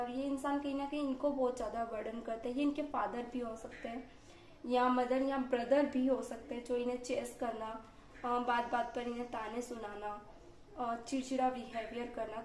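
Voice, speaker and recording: Slightly hoarse woman reading tarot. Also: a little high, a little mellow, reverberant, a little hiss or hum. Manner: chatty, frequent filler pauses. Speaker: tarot card reader. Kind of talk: hesitant tarot reading to viewers.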